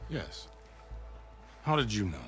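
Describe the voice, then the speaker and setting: An actor playing a formal, reverent overseer and advisor to a ruler in a video game, speaking ceremoniously. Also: male voice, on the low side, very animated, slow pace, a bit bright, faint music behind, exaggerated voice. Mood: formal, grave. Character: solemn, devout male overseer and deferential advisor to ruler. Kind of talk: dramatic video game acting.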